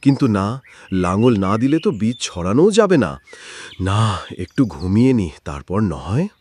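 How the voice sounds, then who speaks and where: A man voicing a lazy, sleepy, good-natured farmer in a cartoon moral story, with whiny, drawn-out exclamations. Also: on the low side, huge pitch swings, a little mellow. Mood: sleepy complaining. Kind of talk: animated moral story voice acting.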